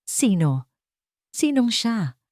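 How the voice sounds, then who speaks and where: A woman's voice: on the high side, very animated, extremely slow, darkish tone, dry recording, studio quality, pitched above the performer's own voice, heavily acted. A woman voicing a heartbroken young female employee talking to herself in a romance story. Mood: hurt. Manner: self-scolding, trembling inner talk.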